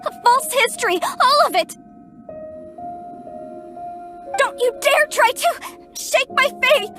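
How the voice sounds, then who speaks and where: An actress playing a distraught, devout woman in a video game, halting and emotional as she clings to her faith, with music behind. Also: very high-pitched, lively intonation. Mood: angry denial. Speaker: voice actor playing a game character. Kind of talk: scripted video game dialogue. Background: background music.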